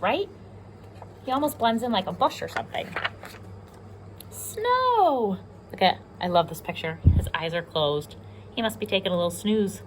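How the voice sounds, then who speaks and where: A woman's voice: mid-range voice, slight background noise, poor audio. A woman with an American accent reading a picture book aloud to young children, explaining simply and asking them questions as a pre-K teacher.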